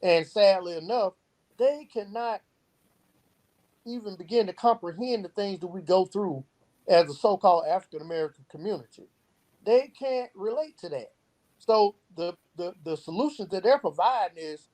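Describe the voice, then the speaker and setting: A man with a mellow, relaxed tone talks as an interview guest. He makes his points with earnest, emphatic conviction, often explaining through stories and examples. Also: sits high, normal speed, low-quality recording. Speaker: guest, youth program founder. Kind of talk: earnest interview talk with storytelling.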